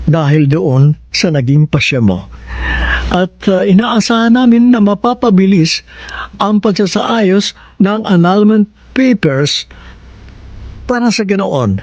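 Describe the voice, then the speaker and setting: A man hosting a radio drama program with formal, measured, expressive scripted narration. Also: sits high. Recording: dry, dull band-limited radio sound.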